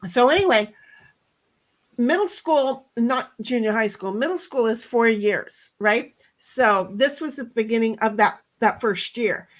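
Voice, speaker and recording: A middle-aged woman with a loud voice, telling a story bluntly in a casual chat, swearing now and then. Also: fairly high pitch, expressive, a little slow. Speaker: co-host.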